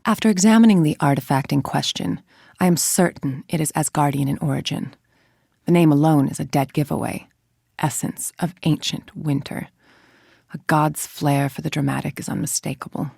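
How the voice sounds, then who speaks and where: Low, breathy, calculating villain scientist. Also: female voice, a little mellow, no room sound, clean studio sound, full character voice. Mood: clinical, assured. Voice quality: breathy, creaky, trailing into whisper. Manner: measured, clinical, precise diction. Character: cold, calculating, arrogant female villain scientist. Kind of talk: video game voice acting, confessional audio log monologue.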